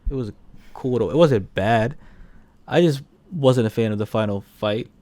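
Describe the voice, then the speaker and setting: A man's voice: medium pitch, normal speed, dark tone. A man co-hosting a film review podcast in casual, blunt, slangy conversation. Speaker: podcast co-host reviewing films. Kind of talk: casual chat.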